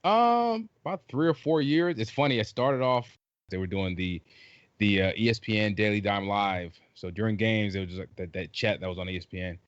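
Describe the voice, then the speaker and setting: A middle-aged man from Trinidad, raised in South Carolina, chatting casually as a podcast guest in a jokey, animated way with many restarts. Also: on the low side, a little fast, no echo, muffled recording. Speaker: podcast guest, basketball writer. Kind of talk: casual chat.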